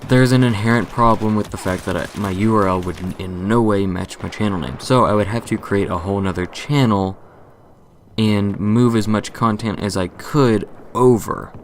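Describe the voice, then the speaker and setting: A young man who hosts a gaming channel, talking through a casual channel update in a monotone voice, rambling, correcting himself and joking along the way. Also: lowish voice, measured pace, slight background noise.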